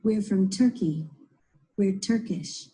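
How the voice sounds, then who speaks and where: A woman reads scripted dialogues for English textbook audio. Her delivery is plain, and her tone is very mellow and dark. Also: medium pitch, slow.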